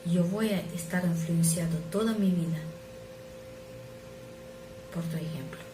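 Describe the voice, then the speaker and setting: A middle-aged woman who is not a native speaker, preaching an earnest, measured sermon that sometimes drops to soft asides. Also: lowish voice. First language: not Spanish. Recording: echoey room with noise.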